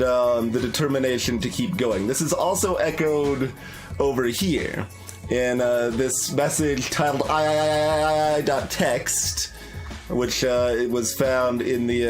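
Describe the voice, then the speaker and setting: A man hosting a game playthrough, talking through his thoughts with many pauses and restarts. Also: mid-range voice. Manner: thinking aloud, many fillers and restarts. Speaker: let's play host. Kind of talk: casual game commentary.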